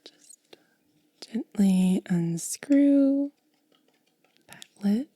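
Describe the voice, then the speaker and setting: A woman whispering in soft-spoken ASMR style, gently and unhurriedly explaining each step close to the microphone. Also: medium pitch, expressive, extremely slow, no echo. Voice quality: breathy whisper. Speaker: ASMR content creator.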